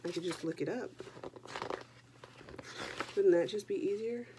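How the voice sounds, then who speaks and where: A woman's voice: medium pitch, unhurried, noisy background. A young woman chatting casually to camera about her knitting, stuffy from a head cold, with joking asides. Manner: chatty, self-deprecating, jokey asides. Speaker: knitting podcast host.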